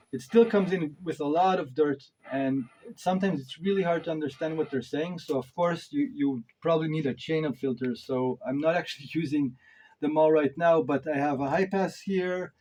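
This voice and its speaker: A man's voice: on the high side, darkish tone, a little hiss or hum. A man giving a casual hands-on gear review, thinking aloud in an informal way with emphatic stresses. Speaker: reviewer of modular synth gear.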